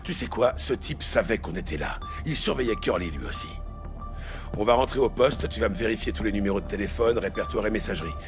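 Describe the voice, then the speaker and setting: An actor playing a gruff, commanding police lieutenant in a French audio drama, curt and coarse in manner. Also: male voice, fairly low pitch, lively intonation, a little fast, music in the background. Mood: intent, commanding.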